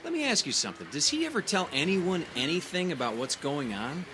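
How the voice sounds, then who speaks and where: An actor playing a discontented newcomer at a research lab in an audio drama, casual, wry and sarcastic. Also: male voice, a little high, very animated, fairly quick, bright, forceful tone, soft background music. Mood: wry, probing. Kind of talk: naturalistic audio drama acting.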